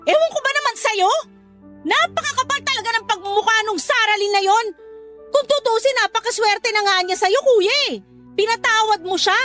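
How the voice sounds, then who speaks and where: A woman's voice: extremely high pitch, brisk. An actress playing an outspoken, loyal young sister in an audio drama, who scolds her brother bluntly, comforts him tenderly, and narrates her own letter. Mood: scolding. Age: young adult. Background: soft music under dialogue, louder music under narration.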